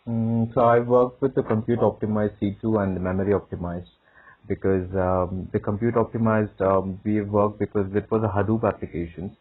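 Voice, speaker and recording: A man's voice: lowish voice, level tone, moderate pace, husky. A man answering as a candidate in a phone job interview, hesitant and often repeating his words. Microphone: phone call. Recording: narrowband tinny phone line.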